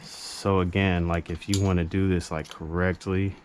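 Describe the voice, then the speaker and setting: A man with a low-pitched voice talks through a hands-on repair in loose, slangy, unscripted chat. He drifts between muttering to himself and addressing viewers. The action-camera audio has a faint noise behind him. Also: little pitch movement, normal speed, a little mellow. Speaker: amateur repair vlogger. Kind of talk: casual unscripted chat while working on a repair. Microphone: GoPro action camera.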